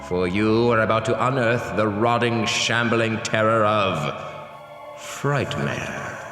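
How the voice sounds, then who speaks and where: A man playing an ominous, theatrical horror show host, narrating a scripted introduction with drawn-out words and dramatic pauses. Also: fairly low pitch. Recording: echoey long reverb over music. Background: music.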